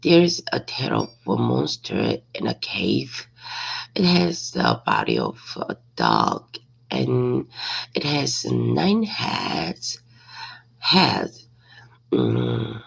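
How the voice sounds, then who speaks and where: A woman reading a simple story aloud as practice, hesitantly, repeating and correcting words, with a raspy, creaky voice. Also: a bass voice, huge pitch swings, extremely slow, low-quality recording.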